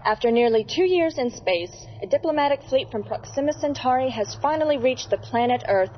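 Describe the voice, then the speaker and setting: A composed sci-fi news anchor. Her voice is on the high side and polished, in mock TV bulletin style, with a slightly dull recording. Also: animated, brisk, a little hiss or hum. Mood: earnest. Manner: polished, newsreader-like. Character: bright, composed female space news anchor. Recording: slightly dull studio sound.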